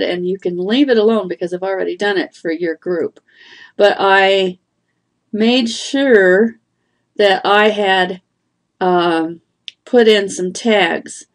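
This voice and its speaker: A woman's voice: mid-range voice, darkish tone. A middle-aged woman giving a screen-share tutorial on online marketing from home, chatty and meandering, with fillers and restarts.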